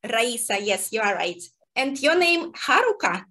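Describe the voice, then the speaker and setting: A woman's voice: on the high side, big pitch swings, unhurried, pushed and bright. A woman who is not a native English speaker, giggly and cheerful, chatting casually in a language tutorial as host and learner. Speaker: amateur radio host learning Japanese.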